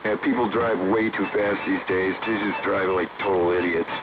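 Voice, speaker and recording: A man's voice: deep voice, steady tone, moderate pace. An older American man chatting casually over CB radio, rambling in a folksy, blunt, salty way. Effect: CB single-sideband radio. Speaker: amateur CB radio operator. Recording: tinny narrowband CB radio, loud static.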